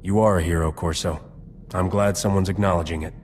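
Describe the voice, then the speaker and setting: A man's voice: sits low, steady tone, brisk, darkish tone, a little hiss or hum, natural voice. A man playing a wry, dry but supportive roguish starship captain in a video game. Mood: sincere. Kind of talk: video game cutscene dialogue.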